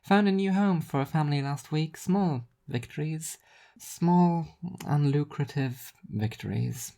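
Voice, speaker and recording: A self-deprecating woman speaks with dry, smiling irony, played by a narrator. Her voice is pitched up, in the conversational manner of an audio story character. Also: unhurried, mellow, lightly acted. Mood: dry, resigned. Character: Rhea, wry self-deprecating woman in city politics.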